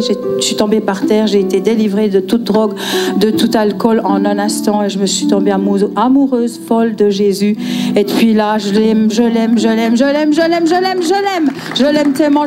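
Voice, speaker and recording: A woman from Switzerland giving a passionate, emphatic sermon, repeating words for effect. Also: on the high side. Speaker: guest preacher. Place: church conference hall. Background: guitar and piano music.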